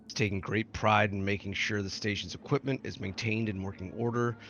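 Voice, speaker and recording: A man's voice: on the low side, gravelly, a bit bright. A man, a tabletop roleplaying player, reading character notes aloud. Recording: muffled audio, faint noise.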